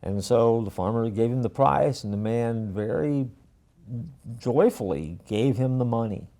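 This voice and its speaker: A man tells a church story in a relaxed, folksy way, with a touch of self-mockery. His voice is mellow and a little gravelly, and his phrase ends often fade down soft. The pitch sits in a middle range for a man. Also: unhurried. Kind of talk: casual storytelling with a moral.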